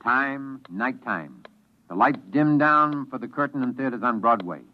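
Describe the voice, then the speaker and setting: A man's voice: medium pitch, animated, a little slow. Muffled vintage male radio announcer. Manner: dramatic, scene-setting delivery. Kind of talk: 1950s radio scripted narration. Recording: muffled, noisy old radio recording.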